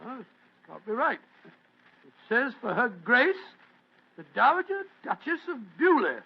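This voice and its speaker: A man's voice: very high-pitched, very animated, moderate pace, gravelly, slightly rough, exaggerated voice. An actor playing a kindly, flustered male doctor who stammers, in an old radio drama. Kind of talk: 1940s radio acting. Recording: dull old radio recording with faint hiss.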